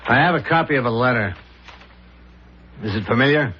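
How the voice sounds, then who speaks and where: An actor playing a calm, insistent police inspector in a 1970s radio drama, measured, pointed and authoritative. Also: male voice, medium pitch, slight background noise, lightly acted. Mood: methodical, accusing. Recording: dull vintage radio recording.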